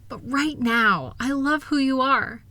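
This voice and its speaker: A woman playing a loving, reassuring wife in an intimate comfort roleplay, speaking in a gentle, soothing, affectionate way. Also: sits high, takes it slowly, full character voice. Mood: tender, reassuring. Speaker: audio roleplay voice performer.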